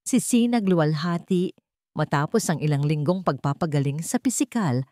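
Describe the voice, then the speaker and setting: Female storyteller, slightly low register, dry studio-close sound, scripted dramatic narration. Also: expressive, moderate pace, a little mellow, no room sound.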